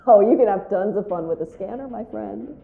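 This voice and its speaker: A woman's voice: mid-range voice, a little fast, vocal fry, narrow, tinny audio, natural voice. A woman playing a playful, teasing space explorer and ghost show host in an audio drama. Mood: wry, exploring.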